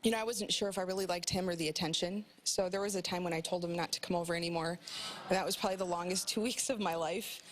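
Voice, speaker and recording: A woman's voice: lowish voice, fairly even intonation, fast, slight background noise. A woman in her thirties, a talk show guest who tells a story in a chatty way and laughs as she talks. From Polish family in the US.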